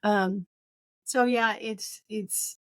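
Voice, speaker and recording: Female co-host, conversational and opinionated, medium pitch, dry studio-like sound. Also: fairly even intonation, extremely slow, no room sound. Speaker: book podcast co-host. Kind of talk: casual book discussion.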